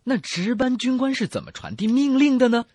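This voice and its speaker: Cheeky, animated male comedy radio sidekick. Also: very high voice, brisk, no room sound, clean studio sound. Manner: cheeky, mock-innocent teasing. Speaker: comedy radio show co-host. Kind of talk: scripted comic banter. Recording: prominent music bed behind voice.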